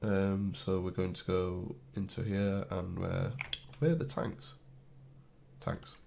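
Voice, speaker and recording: A man's voice: sits low, little pitch movement, slow pace, vocal fry, mellow, a little hiss or hum. A man with a cold, sniffling, casually talking through a game tutorial as he clicks and types. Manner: thinks aloud while clicking and typing, loose and chatty. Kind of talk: casual screen-recorded tutorial.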